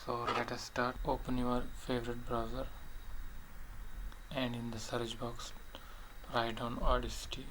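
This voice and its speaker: A man narrating a step-by-step software tutorial in short, halting, plainly instructional phrases. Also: mid-range voice, monotone. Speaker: tutorial presenter. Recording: clearly noisy voice-over recording.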